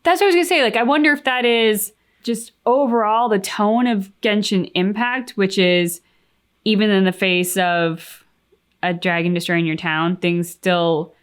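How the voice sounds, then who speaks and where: A woman's voice: mid-range voice, normal speed, clear, resonant tone. A woman co-hosting a game reaction channel, talking casually and reflectively, with plenty of likes and you-knows as she thinks aloud. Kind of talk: casual discussion, giving opinions.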